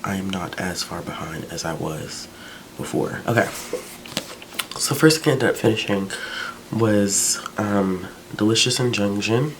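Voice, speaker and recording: Deep-voiced man chatting casually. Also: a little slow, noisy background. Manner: chatty, relaxed, with fillers like "um" and "like". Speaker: book vlogger. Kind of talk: casual book review vlog.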